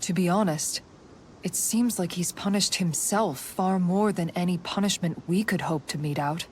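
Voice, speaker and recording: A woman's voice: fairly low pitch, normal speed, a little mellow, slight background noise, natural voice. A woman playing a steadfast, compassionate warrior in a video game, speaking firmly and sincerely. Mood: earnest comfort. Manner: firm, sincere. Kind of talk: dramatic video game acting.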